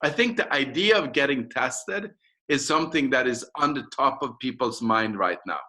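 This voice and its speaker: A man from Norway with a Norwegian accent, speaking emphatically and repetitively as a company founder making a persuasive pitch in an interview. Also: lively intonation, normal speed, pushed and bright.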